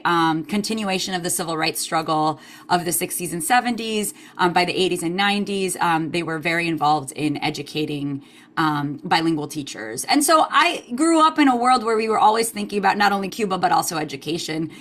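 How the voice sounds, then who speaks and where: A woman's voice: on the low side, moderate pace, a bit bright. A woman historian from Queens, answering interview questions thoughtfully with many "um" fillers and the odd Spanish phrase. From United States (Queens, New York), Cuban parents. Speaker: historian, guest author.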